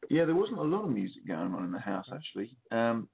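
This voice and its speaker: Gravelly english guy on a tinny phone line, lots of ums, husky low voice. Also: sing-song, fast. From England. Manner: hesitant, many ums and restarts. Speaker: guest musician. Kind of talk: phone interview. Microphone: telephone.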